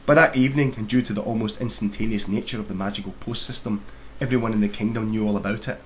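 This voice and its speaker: A man's voice: fairly low pitch, steady tone, quick pace, low-quality recording. A man reading his own fairy tale aloud as scripted storybook narration. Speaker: author reading own book. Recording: faint background noise.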